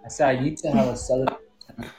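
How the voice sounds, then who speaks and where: A man's voice: mid-range voice, fairly even intonation, fast, dark tone, faint music behind. A man co-hosting a casual group podcast, rambling loosely about sports and his fantasy team. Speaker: podcast co-host.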